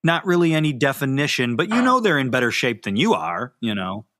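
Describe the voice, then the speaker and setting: A man's voice: mid-range voice, big pitch swings, brisk, fairly bright tone, dry recording, studio quality. A middle-aged man with a Midwestern accent co-hosting a podcast, joking and swearing with frequent laughter. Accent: Midwestern American. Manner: laughs often, profane and jokey. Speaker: podcast co-host. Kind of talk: casual chat.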